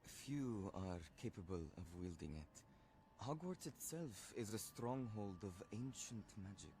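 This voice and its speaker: A man's voice: lowish voice, lively intonation, unhurried, dark tone, slight background noise. A man playing a solemn, cautious wizard professor in a video game. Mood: solemn, cautioning. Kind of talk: scripted video game cutscene acting.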